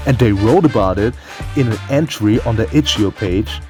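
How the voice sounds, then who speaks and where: A man's voice: on the low side, a little fast. A man delivering a comedic scripted video game review in a theatrical, profane and playful way. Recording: music behind the voice.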